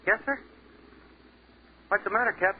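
Man doing an easygoing american GI sergeant, high-ish voice, crackly old radio. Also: moderate pace, close to an everyday voice. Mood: puzzled. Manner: casual, plain-spoken, folksy. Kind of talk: 1940s radio acting. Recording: tinny noisy 1940s radio broadcast.

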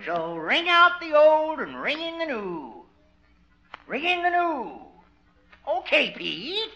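An actor playing an eager, hammy small-town doctor with theatrical delivery in a 1950s radio sitcom. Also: male voice, extremely high pitch, sing-song, takes it slowly, bright, forceful tone, dull audio, faint music behind, heavily acted. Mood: grandly theatrical. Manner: theatrical, declamatory.

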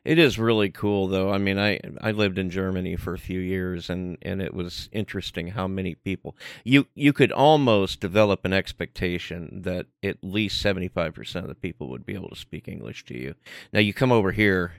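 A man's voice: sits low, fairly quick, creaky, clear, resonant tone, no room sound, clean studio sound. A man co-hosting a podcast in casual chat, rambling and repeating words as he thinks aloud. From United States. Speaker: podcast co-host.

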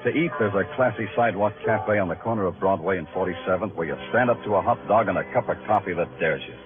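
An actor playing a wry, hard-boiled New York police detective in a vintage radio crime drama, with an American accent and clipped delivery. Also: male voice, low voice, fast talker, close to an everyday voice. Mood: wry, world-weary. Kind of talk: 1950s radio crime drama acting, first-person narration. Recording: muffled vintage radio recording with music.

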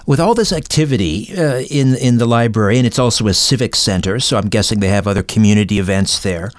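A man hosting an interview, asking questions in a casual, halting, conversational way. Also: on the low side, no echo, clean studio sound. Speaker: interview host.

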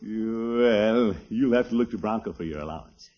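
An actor playing a fussy, blustering middle-aged uncle in a 1950s radio sitcom, pompous and husky, given to hemming and chuckling. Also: male voice, fairly low pitch, moderate pace, natural voice. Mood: sly. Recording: dull, lo-fi old radio recording.

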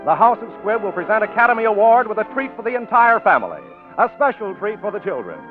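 Lively, high-voiced American radio announcer, tinny vintage sound. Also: male voice, fast. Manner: formal, polished. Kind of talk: scripted 1940s radio announcing and sponsor message. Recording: tinny 1940s radio broadcast, faint music.

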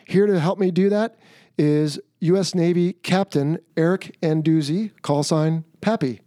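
Casting: middle-aged male podcast interviewer, conversational and casual, relaxed timbre, dry close sound. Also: fairly high pitch, unhurried, dry recording. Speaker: podcast host.

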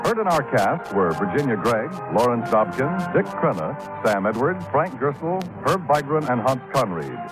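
A man's voice: medium pitch, sing-song, normal speed, hardly any pauses, poor audio. An American man working as a 1950s radio announcer, reading scripted credits in a formal, projected way. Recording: vintage 1950s radio broadcast. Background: loud music.

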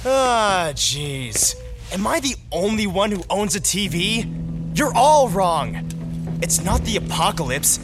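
A man plays a cocky young library worker in an audio drama. He is sarcastic and mouthy, with a very animated, exasperated delivery over clear background music. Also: sits high, slow pace, exaggerated voice. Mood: exasperated, know-it-all.

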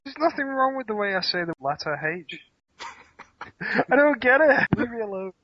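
Laid-back British man, slightly high voice. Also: sing-song, moderate pace, muffled recording, slight background noise. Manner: laid-back, dry teasing humor. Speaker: podcast co-host. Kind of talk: casual banter.

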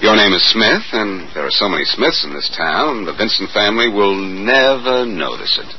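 An actor playing a cool, wry amateur sleuth in the dry, plain-spoken manner of 1940s radio drama. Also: male voice, lowish voice, sing-song, moderate pace. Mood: calm, reassuring. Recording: muffled, noisy old radio recording.

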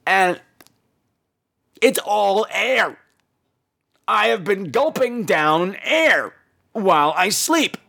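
A man's voice: high-pitched, lively intonation, drawn-out words, vocal fry, long pauses, pushed and bright. An American man hosting a podcast, telling comic personal stories in a campy, theatrical and bluntly profane way. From United States. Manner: theatrical, campy, exaggerated delivery. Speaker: podcast host. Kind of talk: comedic personal storytelling monologue.

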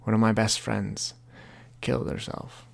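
Young male podcast host, gravelly, low-pitched, earnest and confessional, reads his own writing. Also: level tone, unhurried. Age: 20s. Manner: earnest, confessional, heavy language. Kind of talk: reflective monologue reading from his book.

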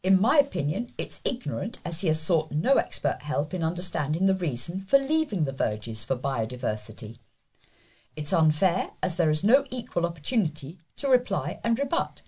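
A woman's voice: fairly low pitch, very animated, moderate pace, warm tone, breathy edge. A woman reading newspaper letters aloud as a volunteer news reader.

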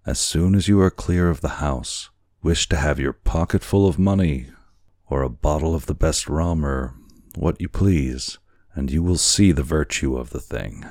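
A man's voice: a bass voice, normal speed, darkish tone, no room sound, clean studio sound. A man voicing an earnest, resolute and despairing Hawaiian man in a storybook reading, speaking formally and emphatically. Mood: persuasive.

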